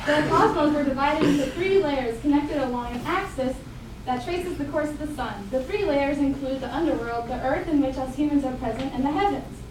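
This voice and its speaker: A woman's voice: sits high, fairly quick, close to an everyday voice. A woman playing a bossy elder of an ancient kingdom in a scripted puppet show. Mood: explaining. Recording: very echoey room, distant mic, clear noise.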